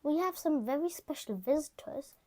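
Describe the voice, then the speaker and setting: A woman reading as a gentle mother pig. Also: sits high, expressive, moderate pace, pitched above the performer's own voice. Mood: friendly.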